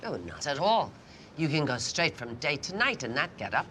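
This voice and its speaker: A woman's voice: low-pitched, big pitch swings, normal speed, sharp tone, a little hiss or hum, heavily acted. A middle-aged woman playing an abrasive, sarcastic, domineering film actress in a period TV drama, hard-voiced, blunt and commanding. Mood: sarcastic, biting. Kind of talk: television period drama acting.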